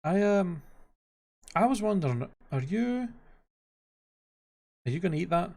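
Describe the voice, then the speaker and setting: A man plays an awkward ogre in a cartoon fairy tale. The voice is creaky and fairly high for a big brute, halting and bashful, often correcting himself. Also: very animated, darkish tone, exaggerated voice. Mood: shy. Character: gruff awkward male ogre, shy and defensive.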